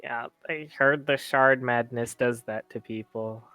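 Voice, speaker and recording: A young man playing a tabletop roleplaying game, speaking in character in a halting, stammering way. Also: medium pitch, fairly even intonation, bright, forceful tone, clean tone, no echo, faint music behind. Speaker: player.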